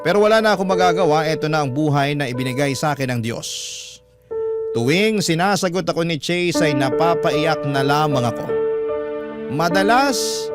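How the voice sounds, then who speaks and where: A man's voice: on the high side, lively intonation, moderate pace. A man narrating a listener's letter as a dramatic radio story. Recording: loud music under the voice. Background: loud instrumental music.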